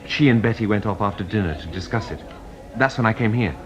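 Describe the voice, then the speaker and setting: An actor playing an earnest, polite gentleman who accompanies a detective in a radio drama, questioning and clipped, on a muffled recording. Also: lowish voice, fast talker, close to an everyday voice. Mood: earnest, concerned.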